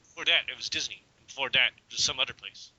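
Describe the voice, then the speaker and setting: A man's voice: medium pitch, fast, sharp tone, breathy edge. A young man giving an amateur dramatic reading of a story, stumbling now and then and slipping into casual asides. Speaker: reader. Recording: dull lo-fi home recording.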